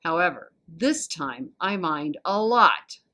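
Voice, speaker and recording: A woman with an American accent reading a children's novel aloud as an expressive storyteller. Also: on the low side, takes it slowly. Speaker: volunteer storybook reader.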